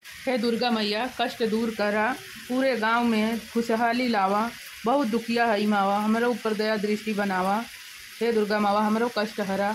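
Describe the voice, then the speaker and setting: A woman acts out a pious, scolding older mother-in-law from a village cartoon. Her voice is high, with a rustic eastern Uttar Pradesh accent and blunt, grumbling delivery. There is faint background noise. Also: little pitch movement, normal speed, lightly acted, rough recording. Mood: pleading, devout. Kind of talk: animated comedy cartoon dialogue.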